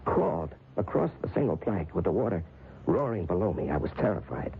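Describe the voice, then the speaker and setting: A man's voice: low voice, sing-song, a little fast, vocal fry, heavily acted. A man playing a frightened, defensive novelist in an old-time radio drama, stammering and insistent. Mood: haunted recollection. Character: frightened, defensive novelist haunted by unseen dread. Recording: noisy, tinny old radio drama recording.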